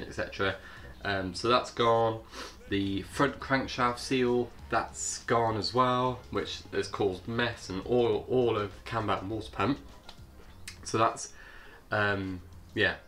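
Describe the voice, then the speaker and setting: A man with a cold, sounding hoarse, hosting his vlog in a chatty, hesitant way. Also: low voice, a bit bright. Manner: chatty, hesitant with many fillers. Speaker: channel host. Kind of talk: casual vlog intro.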